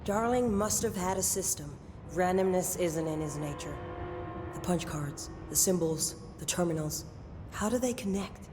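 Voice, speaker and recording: Actress as brisk, commanding female operations chief. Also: fairly low pitch, over music, natural voice. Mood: businesslike. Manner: matter-of-fact, clipped, authoritative.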